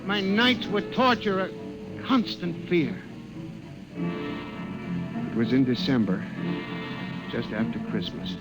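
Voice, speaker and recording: A man's voice: mid-range voice, takes it slowly, long pauses, poor audio. An actor playing a frantic, haunted man who narrates his own nightmare of murderous machines, with intense theatrical delivery in an old-time radio drama. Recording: old radio recording with dramatic music behind.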